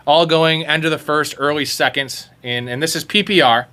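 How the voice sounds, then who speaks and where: Bright, forceful, slightly high male host, teasing and loose. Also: normal speed, clean tone. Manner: jokey, teasing. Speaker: podcast host. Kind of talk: casual sports chat.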